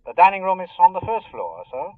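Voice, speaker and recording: An actor playing a courteous, formal hotel receptionist heard down a telephone in a radio drama. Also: male voice, high-pitched, big pitch swings, a little fast. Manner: polite, formal. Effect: telephone filter. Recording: tinny telephone line.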